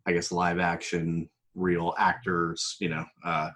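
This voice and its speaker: A man's voice: low voice, monotone, takes it slowly, fairly bright tone. A man chatting casually as a podcast guest, speaking in a tentative, loosely hedged way. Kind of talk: casual chat.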